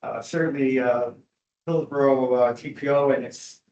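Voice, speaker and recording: Loud, joking man in a dull echoey room. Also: mid-range voice, steady tone, moderate pace. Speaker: traffic safety presenter. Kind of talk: meeting presentation.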